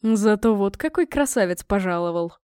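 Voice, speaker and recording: A woman's voice: medium pitch, expressive, moderate pace, warm tone, clean tone, no echo, natural voice. A smug, middle-aged king from a storybook, read by a woman. He teases in an offhand, philosophical way, unmoved by protest, in a very dry recording. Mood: teasing, pleased. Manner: chuckling, casual, offhand.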